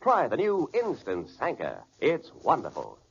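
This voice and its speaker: A man's voice: medium pitch, wildly swooping pitch, measured pace, vocal fry, slight background noise. A man delivering a scripted old radio commercial with emphatic salesmanship. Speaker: commercial announcer. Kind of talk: scripted 1940s radio advertising. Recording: dull vintage radio recording.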